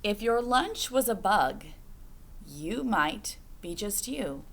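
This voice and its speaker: A woman's voice: mid-range voice, big pitch swings, takes it slowly, vocal fry. A woman reading a picture book aloud to children like a teacher, phrasing expressively and putting questions to her young listeners.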